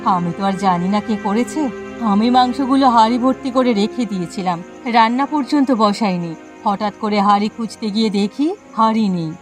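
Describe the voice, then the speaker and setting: A woman's voice: a little high, moderate pace, over music, close to an everyday voice. A woman voicing an aggrieved village wife who runs a food stall in a cartoon, plaintive and exasperated. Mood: dejected. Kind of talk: cartoon dialogue acting.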